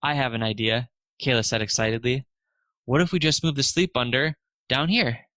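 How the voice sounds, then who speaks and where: A man's voice: mid-range voice, moderate pace, pushed and bright, no room sound, studio quality. A man narrating a children's storybook aloud, expressively lifting his voice for the dialogue.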